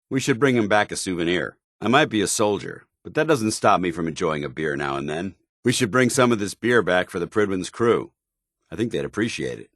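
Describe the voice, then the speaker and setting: An actor playing a stern, zealous soldier companion in a video game, with an American accent and a formal, earnest military delivery. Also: male voice, sits low, fairly bright tone, no echo, studio quality, full character voice.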